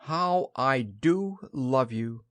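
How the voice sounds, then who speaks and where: A calm and kindly young boy in a children's storybook, voiced by an adult reader. He speaks without hurry and with lively rises and falls. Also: medium pitch, very slow, dry recording. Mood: affectionate. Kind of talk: children's storybook character reading.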